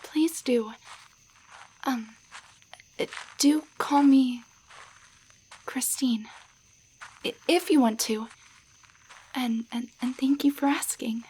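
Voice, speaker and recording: Audio drama: woman as timid, grateful young waitress cursed into a little doll. Very unhurried, breathy, near-whispered, faltering delivery. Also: high-pitched, a little mellow, heavily acted, poor audio. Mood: touched, shy. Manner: hesitant, halting, stammering. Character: shy, grateful young tavern waitress turned into tiny doll. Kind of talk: roleplay audio drama, intimate one-sided dialogue.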